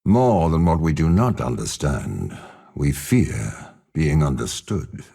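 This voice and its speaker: An aging, imperious game villain with an extremely deep, husky voice. He is a cold schemer who speaks slowly and deliberately, with haughty menace and wide, dramatic swings in pitch. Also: sing-song, warm tone, full character voice. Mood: calm, scheming. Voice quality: gravelly, husky. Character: cold, scheming older male warlord and spymaster, imperious villain. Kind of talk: video game character voice lines.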